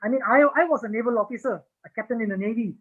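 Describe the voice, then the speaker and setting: A man with a Singaporean accent answering questions after a talk, often restarting with repeated "and, and". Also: extremely high pitch. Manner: halting, many repeated "and, and" restarts. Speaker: guest speaker answering questions. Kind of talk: Q&A after a lecture. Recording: muffled lo-fi video call audio.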